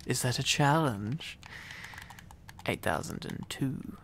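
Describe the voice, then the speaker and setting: A man plays a needy, affectionate boyfriend in an intimate ASMR roleplay, rambling at an unhurried pace. His medium-pitched voice has a lot of gravelly fry and a teasing, silly manner. A little hiss and keyboard typing sit behind him. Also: lively intonation, fairly bright tone.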